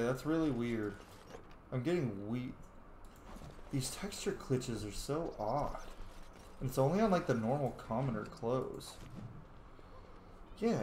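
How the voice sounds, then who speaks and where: A man's voice: medium pitch, darkish tone. A man giving casual gameplay commentary, muttering and thinking aloud to himself as he plays a role-playing game. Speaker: gamer playing a role-playing game on camera or stream. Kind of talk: casual gameplay commentary mixed with reading dialogue choices. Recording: roomy echo, faint background noise.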